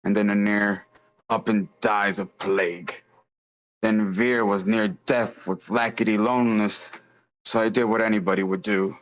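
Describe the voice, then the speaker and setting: Man playing a low-voiced, gruff, bitter crime boss. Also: fairly even intonation, moderate pace, muffled recording, close to an everyday voice. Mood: musing. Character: gruff bitter crime boss, self-pitying, threatening, penniless. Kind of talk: screenplay table read.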